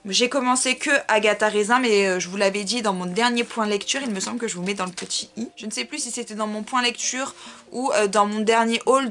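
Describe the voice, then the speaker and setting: A woman's voice: fairly high pitch, bright, forceful tone. A woman book vlogger talking casually and informally to her viewers, with chatty, self-deprecating asides. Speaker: book vlogger presenting a reading challenge.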